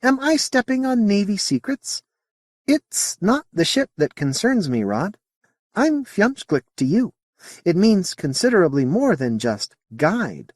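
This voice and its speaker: A high, lilting female alien who studies humans with calm curiosity, played by a man reading a storybook. She speaks slowly and enunciates with care, in formal, slightly off grammar. The sound is close and dry. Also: no echo, exaggerated voice. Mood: curious. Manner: slow careful enunciation, formal phrasing.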